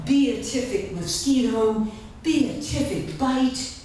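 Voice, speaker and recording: Distant echoey recording, faint noise, woman, dramatic poetry reading, deliberate phrasing, long pauses. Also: sits high, warm tone. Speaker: author reading from own memoir.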